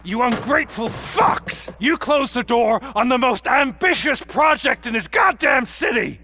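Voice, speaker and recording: A gravelly, sneering villain. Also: male voice, very high-pitched, animated, measured pace, noisy background, full character voice. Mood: furious. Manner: theatrical, sneering, swings into angry shouts. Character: scheming male villain, bitter and manipulative. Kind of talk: video game cutscene acting.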